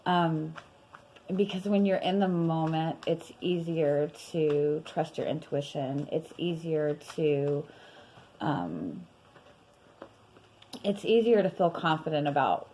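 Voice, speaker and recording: A young woman reading tarot in a casual, rambling way, her voice worn and going hoarse, with many fillers and soft laughs. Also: deep voice, takes it slowly, a little hiss or hum, rough recording. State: losing her voice. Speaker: tarot reader.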